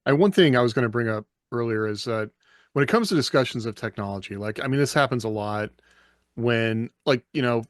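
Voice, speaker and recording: A man's voice: medium pitch, a little fast, dry recording. Man in casual discussion, dry sound. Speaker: podcast panelist.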